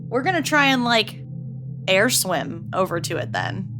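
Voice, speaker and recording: A woman's voice: mid-range voice, lively intonation, unhurried. Young woman player, chatty n giggly, says like a lot, music under it. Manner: chatty, giggly, lots of fillers. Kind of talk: casual tabletop roleplay.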